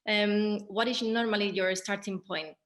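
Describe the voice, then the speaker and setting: A woman hosting a theatre Q&A, asking questions conversationally in halting phrases. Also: mid-range voice, steady tone. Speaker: moderator of a theatre Q&A. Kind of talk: conversational interviewing.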